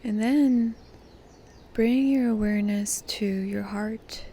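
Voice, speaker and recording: A woman guides a meditation in a soft, mellow voice with some creak in it. Her delivery is gentle and very unhurried, with pauses between short phrases. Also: mid-range voice, steady tone.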